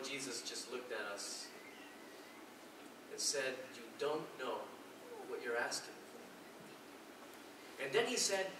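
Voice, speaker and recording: A man playing an earnest, humble disciple of Jesus in a live stage monologue, telling his story deliberately with pauses. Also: medium pitch, lightly acted. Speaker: actor in a church drama. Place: large echoey indoor space, mic at a distance. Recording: very echoey hall, distant mic, hissy noise.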